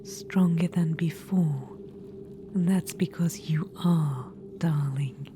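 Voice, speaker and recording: A woman's voice: low-pitched, slow pace, background noise. A woman voicing an imperious, gleefully macabre undead witch-queen in a whispered audio roleplay, cooing "darling" at the listener. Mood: doting menace.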